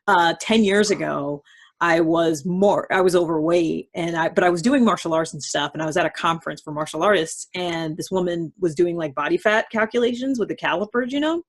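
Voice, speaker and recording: A woman with a husky, slightly low voice hosts a relaxed conversation. She speaks informally and slangily, slipping into quoted lines as she explains things. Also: a little fast. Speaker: host. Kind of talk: casual conversational interview.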